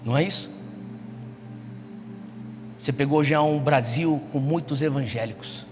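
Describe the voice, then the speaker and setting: A man's voice: medium pitch, measured pace. Man, Brazilian accent, youth sermon, exhortative, repetitive rhetorical questions, long hall reverb, music behind. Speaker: preacher. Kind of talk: sermon to a young congregation.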